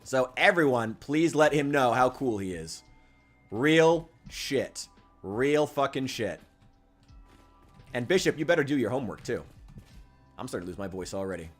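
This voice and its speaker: A man's voice: mid-range voice, normal speed, long pauses. A man livestreaming while gaming, chatting casually and urging his chat on with lots of swearing. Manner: animated and profane, exhorting chat. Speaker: streamer. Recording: music playing faintly behind.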